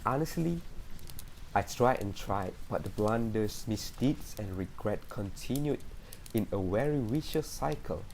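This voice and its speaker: A man plays a troubled patient in an amateur radio drama, confessing earnestly and deliberately. The voice is fairly low and quite mellow, with faint noise behind it. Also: animated, vocal fry, rough recording. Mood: pained, resolute.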